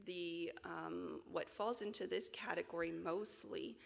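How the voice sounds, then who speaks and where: A woman in her forties gives a lecture at a conference podium, her somewhat low voice strongly gravelly and raspy. She speaks in a measured way with many ums and restarts, and the podium microphone carries a long reverberant tail from the hall. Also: fairly even intonation. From Canada. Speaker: physician lecturer.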